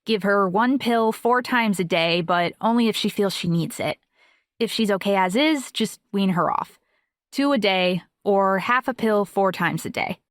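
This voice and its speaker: A self-assured young woman acted by a female audiobook performer, recorded very dry. She talks fluently and matter-of-factly, with a casual, reassuring manner at a middle pitch. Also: unhurried, dry recording, close to an everyday voice. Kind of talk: audiobook dialogue acting.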